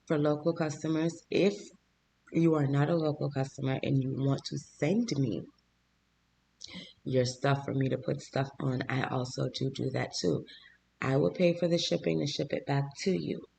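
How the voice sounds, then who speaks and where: A hoarse man, a small business owner and channel host, chatting casually to viewers in a vlog voice-over. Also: a little high, fairly even intonation, moderate pace, a little mellow, slight background noise. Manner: chatty, talks straight to viewers.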